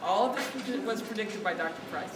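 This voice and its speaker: Woman, quiet voice, no microphone, distant and echoey, loud ambient noise behind. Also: mid-range voice, a little fast. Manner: earnest, speaking out over a crowd. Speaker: speaker at a lobby day. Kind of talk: outdoor speech without a mic. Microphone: no mic, picked up from a distance.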